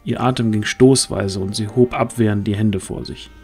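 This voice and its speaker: A man's voice: on the low side, fairly even intonation, normal speed, a little mellow, soft background music. A man narrating a fantasy audio drama as a scripted storyteller.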